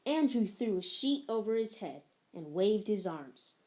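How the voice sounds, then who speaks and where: A woman reading a children's picture book aloud, narrating in an expressive, measured storytelling manner. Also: medium pitch. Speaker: story reader.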